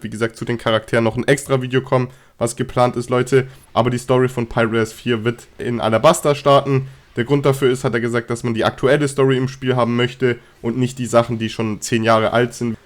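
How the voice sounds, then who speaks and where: A young man with a cold and a slightly hoarse voice, chatting casually about game news straight to his viewers. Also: mid-range voice. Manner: chatty, filler-heavy, addresses viewers directly. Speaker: gaming news youtuber.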